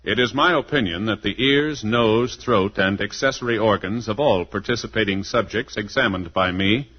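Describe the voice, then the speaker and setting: Husky American man reading vintage radio ad. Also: fairly low pitch, normal speed. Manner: formal, declarative reading. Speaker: radio advertisement announcer. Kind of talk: 1950s scripted radio advertisement. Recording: muffled vintage radio recording.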